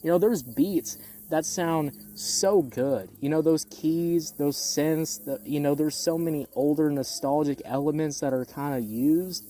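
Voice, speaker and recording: Mellow-voiced music critic over background noise. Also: medium pitch, a little slow. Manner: repeats phrases for emphasis, exasperated, blunt; casual swearing. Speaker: music critic, album reviewer. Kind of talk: casual opinionated album review monologue.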